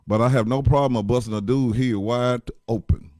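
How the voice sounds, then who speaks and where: A man with a deep voice gives blunt, profane relationship advice in casual chat. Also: steady tone, a little fast, mellow, no echo, studio quality.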